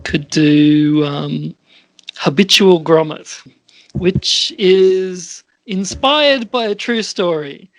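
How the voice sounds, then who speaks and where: A man's voice: high-pitched, very slow, no echo. A man chatting casually in a hesitant, self-deprecating way, with giggles. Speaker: amateur scriptwriter and performer. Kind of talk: casual chat.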